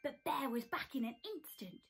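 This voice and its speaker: A young woman reading a children's storybook aloud in a theatrical, dramatic, expressive style in a small room. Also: high-pitched, normal speed, vocal fry. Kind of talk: expressive scripted storybook narration. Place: small decorated room at home.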